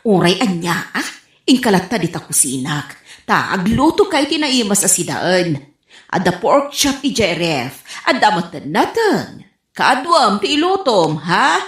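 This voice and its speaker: A woman acting in a comedy radio drama as an excitable, exclaiming village woman. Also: mid-range voice, measured pace. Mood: playful, bossy. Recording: roomy, echoing sound.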